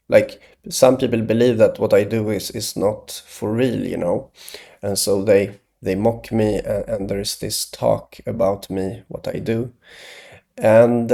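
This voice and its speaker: A man from Sweden, talking hesitantly in a rambling solo monologue, with many pauses and restarts. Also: medium pitch, vocal fry, warm tone. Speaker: video blogger.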